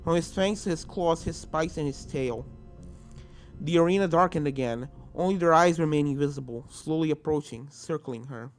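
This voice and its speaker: A storyteller reading fan fiction aloud in a dramatic scripted narration style, at a medium pitch. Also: moderate pace. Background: video game music.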